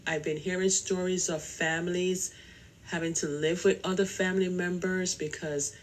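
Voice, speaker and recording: A woman's voice: on the low side, fairly even intonation, normal speed. A woman hosting a casual solo podcast, chatting in a rambling way and speaking straight to her listeners. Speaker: podcast host.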